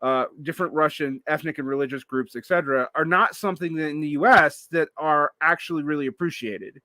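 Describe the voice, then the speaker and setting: Bright-voiced man hosting a chat. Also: on the high side, brisk, no room sound. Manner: rambling, with many fillers and restarts. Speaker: podcast host. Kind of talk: casual interview chat.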